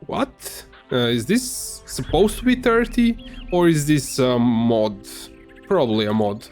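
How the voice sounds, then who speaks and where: Man, Serbian native speaker, slow unhurried delivery, hesitant with fillers, faint music behind. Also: mid-range voice, animated. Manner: halting, many fillers and restarts, soft laughs. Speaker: gamer. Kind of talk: casual gameplay commentary.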